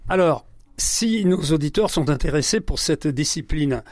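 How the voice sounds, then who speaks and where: Man, fairly high voice, dry sound, brisk radio host. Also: animated, dry recording. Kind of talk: radio interview.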